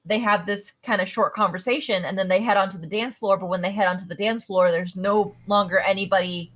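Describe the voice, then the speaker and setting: A woman co-hosting a casual podcast and walking through an episode's plot in a loose, chatty way. She laughs often as she talks, and her voice sits at an ordinary middle pitch. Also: fairly even intonation, a little fast, a bit bright. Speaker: podcast co-host.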